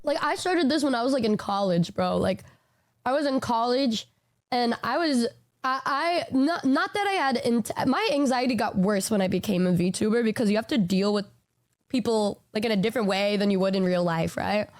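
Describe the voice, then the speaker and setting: 20s woman vtuber, rambly, swears a lot, a bit high voice. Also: normal speed. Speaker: VTuber streamer. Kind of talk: casual stream chat.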